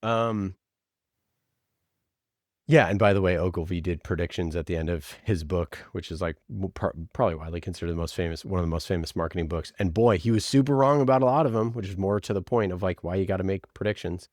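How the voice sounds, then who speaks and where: A man's voice: deep voice, fast talker, darkish tone, no room sound, studio quality. A man speaking as an interview guest, answering casually and emphatically, with informal asides in which he quotes himself and others. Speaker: podcast producer, interview guest.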